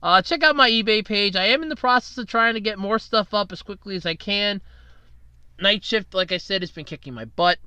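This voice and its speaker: A man's voice: high-pitched, a little fast, pushed and bright, smooth, dry recording. A young man hosting a casual solo podcast, rambling and jokey while fighting a cold and tired from night shifts. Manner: rambling, chatty, self-deprecating, jokey. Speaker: podcast host.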